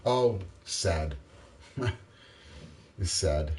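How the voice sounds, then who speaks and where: A man, tired after a late night, rambling haltingly to the camera in a self-mocking way. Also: lively intonation, slight background noise. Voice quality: deep voice. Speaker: vlogger. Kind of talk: casual rambling monologue.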